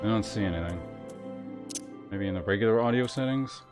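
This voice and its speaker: A man's voice: on the low side, a little fast. A man streaming a game, chatting casually in a wry, rambling, conversational way as he comments. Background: game music.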